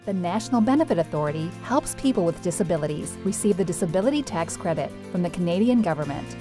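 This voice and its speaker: A woman's voice: lowish voice, animated, fast. Female commercial announcer over music. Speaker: advertisement announcer.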